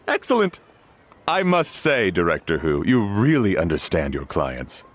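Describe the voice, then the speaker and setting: Courteous servant, low, expressive voice. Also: male voice, a little hiss or hum, full character voice. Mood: pleased, gracious. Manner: polite, formal, measured. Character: courteous, fastidious male servant arranging his master's funeral. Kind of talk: animated game cutscene acting.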